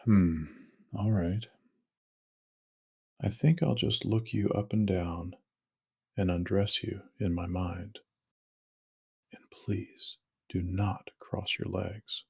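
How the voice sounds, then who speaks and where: A man's voice: sits low, fairly even intonation, takes it slowly, creaky, long pauses, darkish tone, breathy edge, no room sound, clean studio sound, heavily acted. A man playing a devoted, flirtatious lover in intimate romantic dialogue, teasing and suggestive in manner.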